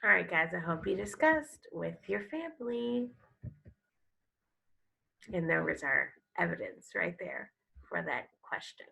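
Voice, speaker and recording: Female elementary teacher for children's read-aloud: heavy vocal fry, bright tone, expressive, explanatory delivery. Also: on the low side, poor audio. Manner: expressive, instructive, pauses to explain and prompt. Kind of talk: picture book read-aloud with questions to young pupils.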